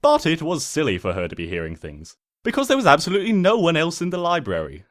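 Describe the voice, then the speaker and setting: A man's voice: fairly high pitch, wildly swooping pitch, fast, voice pushed up. A man voicing a smug, mischievous trickster spirit who narrates a comic storybook in a pompous, sardonic, theatrical way.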